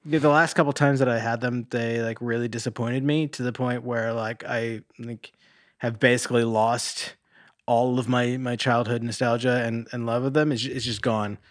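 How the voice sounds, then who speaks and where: A man with a middling pitch talks casually as a podcast co-host. He rambles and swears freely, recorded close and dry with very little room sound. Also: little pitch movement, moderate pace, no echo. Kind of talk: casual chat.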